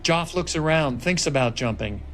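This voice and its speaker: A man's voice: a little high, lively intonation, moderate pace, a bit bright, a little hiss or hum. A man reading stage directions as the narrator of a film script table read, in dramatic scripted narration. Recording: music laid clearly behind the voice. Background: music and sound effects.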